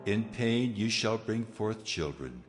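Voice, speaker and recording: An actor playing a stern, commanding God in a dramatized audio Bible, solemn and authoritative. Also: male voice, on the low side, lively intonation, takes it slowly, over music. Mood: solemn judgment. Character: stern, commanding Lord God passing judgment.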